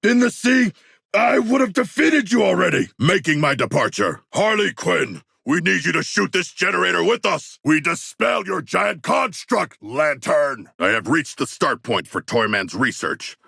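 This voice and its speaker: Gravelly, formal shark warrior. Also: male voice, high voice, big pitch swings, moderate pace, sharp tone, no echo, studio quality, heavily acted. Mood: battle fury, then earnest, commanding. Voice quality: gravelly, rough. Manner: formal, stilted, precise diction with big words. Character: huge eloquent humanoid shark warrior, earnest and fierce. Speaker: video game character. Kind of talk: game combat barks and dialogue.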